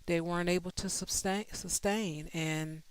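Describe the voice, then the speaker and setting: A woman's voice: fairly low pitch, fairly even intonation, a little slow, smooth. A woman hosting a livestream, talking in an easygoing, conversational way. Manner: easygoing, conversational, many fillers. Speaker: host. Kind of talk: casual livestream chat.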